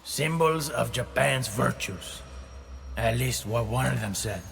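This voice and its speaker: An actor playing a sneering, cruel henchman in a video game, with a gravelly voice and sardonic, contemptuous delivery. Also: mid-range voice, unhurried, a bit bright, slight background noise. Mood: scornful, mocking. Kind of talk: video game dramatic acting.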